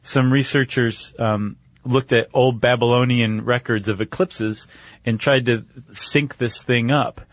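A man's voice: medium pitch, fairly even intonation, a little slow, dry recording. A man co-hosting a podcast, explaining things in a casual, conversational way with little check-in questions. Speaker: podcast co-host.

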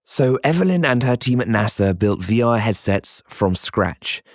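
A man's voice: on the low side, lively intonation, a little slow, dry recording, clean studio sound. A man hosting and narrating a documentary podcast in a scripted storytelling style. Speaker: host and narrator.

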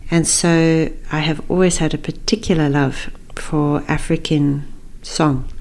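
Mellow, low-pitched woman hosting a podcast. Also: steady tone, unhurried, low-quality recording. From Zambia. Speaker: podcast host and singing teacher. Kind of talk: friendly informal podcast monologue.

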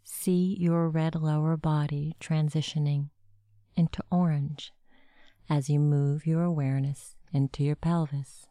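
A woman narrating a guided meditation with gentle, measured instructions. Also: sits low, fairly even intonation, dry recording, clean studio sound.

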